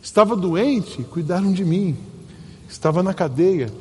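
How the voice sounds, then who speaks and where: An earnest man with a Brazilian Portuguese accent preaches from the pulpit, pausing for emphasis as he tells stories. His voice sits a little high. The sound carries a long church reverb over a faint hum of room noise. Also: animated. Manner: earnest, storytelling, emphatic pauses. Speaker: pastor. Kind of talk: sermon. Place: church sanctuary.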